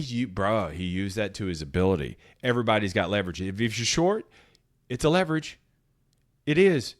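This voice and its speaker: A man's voice: medium pitch, a bit bright. A man hosting a motivational sales podcast, talking bluntly and emphatically in short, punchy phrases, with some profanity. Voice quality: powerful voice. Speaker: podcast host and sales coach. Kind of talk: motivational solo talk.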